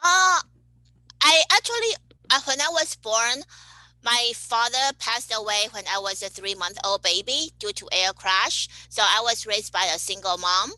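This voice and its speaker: Woman, cheerful interview guest, bright sharp tone, dry recording. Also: on the high side, a little slow, no echo, poor audio. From Taiwan. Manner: cheerful, laughs between answers. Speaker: guest, cosmetic chemist. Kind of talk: podcast interview answers.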